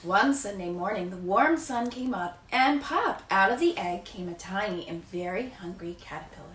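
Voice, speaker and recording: A woman's voice: mid-range voice, animated, moderate pace, a bit bright. An American woman in her thirties reading a children's picture book aloud. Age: 30s. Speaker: teacher. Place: living room, indoors. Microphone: camera a few feet away. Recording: distant echoey room, faint noise.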